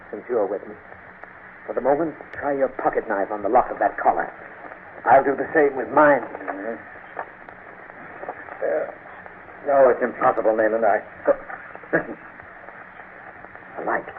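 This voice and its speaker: An actor playing a stalwart British gentleman adventurer in an old radio serial, clipped, urgent and defiant under threat. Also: medium pitch, close to an everyday voice. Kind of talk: 1930s-40s radio serial acting. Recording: tinny, noisy old radio broadcast.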